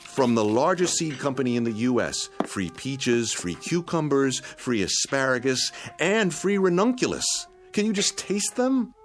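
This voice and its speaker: An actor playing a mild, penny-pinching husband in a radio drama, genteel, fussy and wordy, often musing to himself. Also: male voice, medium pitch, very animated, measured pace, hardly any pauses, faint music behind. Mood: eager, placating. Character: mild, penny-pinching husband, eager about free bargains.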